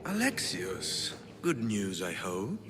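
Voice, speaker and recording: An actor playing a middle-aged villain in a video game, smooth and cold, speaking in a measured, condescending way. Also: male voice, fairly low pitch, wildly swooping pitch, noisy background, exaggerated voice. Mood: pleased. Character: smooth, sinister middle-aged male schemer and employer. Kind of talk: video game cutscene acting.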